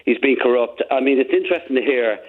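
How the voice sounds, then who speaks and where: A man's voice: medium pitch, fast talker. An Irish man calling a radio phone-in, talking in an impassioned, rambling way with frequent restarts. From Ireland. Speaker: caller. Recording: tinny phone line.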